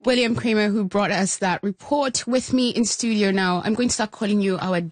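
A woman's voice: mid-range voice, normal speed. A woman with a Kenyan accent presenting a programme, moving between scripted links and studio conversation. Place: radio studio.

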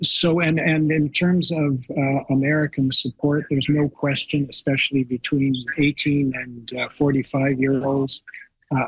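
Radio guest, man, husky voice, flat pitch, halting with fillers, dry sound. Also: no echo, rough recording. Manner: hesitant, many fillers, critical. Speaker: guest commentator. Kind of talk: radio discussion.

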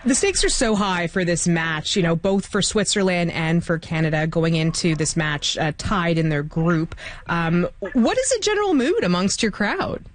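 Lively woman news anchor. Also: lowish voice, moderate pace, a little hiss or hum. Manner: warm, lively interviewer, laughs easily. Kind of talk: live news broadcast.

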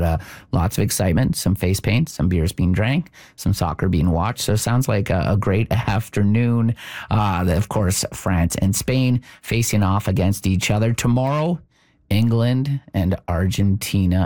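A man's voice: lowish voice, darkish tone, no echo. A man hosting a live radio news show, chatting casually as he wraps up a reporter's field segment. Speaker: radio host.

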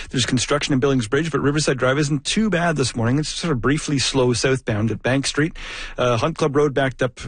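A man's voice: mid-range voice, slightly rough, no room sound. A man giving a live radio traffic report, rattling off roads in a conversational way with fillers.

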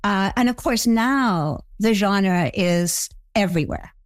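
A woman being interviewed, speaking reflectively in short, measured, halting phrases. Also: medium pitch, expressive, no room sound, studio quality. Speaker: interview guest, television creator. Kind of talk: reflective conversation.

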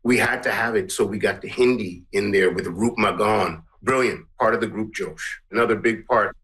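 A man's voice: on the low side, normal speed, fairly bright tone, poor audio. Earnest, rambling middle-aged man. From Guyana. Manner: earnest, rambling, many restarts. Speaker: musician and composer. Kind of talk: interview answers.

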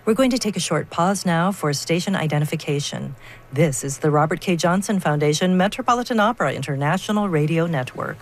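Low-pitched woman radio host. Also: lively intonation. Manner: polished, measured read. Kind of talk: scripted radio announcing.

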